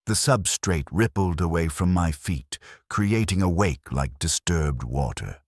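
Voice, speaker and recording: A man with an extremely low voice reads a dark sci-fi horror story in the first person. His delivery is grave and measured, with lively movement in pitch. The recording is dry and close, without room sound. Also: no echo. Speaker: narrator.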